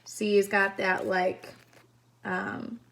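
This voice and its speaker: Slow, bright-voiced woman reading to children. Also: mid-range voice, level tone, vocal fry. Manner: deliberate, explains pictures to young listeners. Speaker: storytime reader, teacher. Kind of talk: children's read-aloud with picture asides.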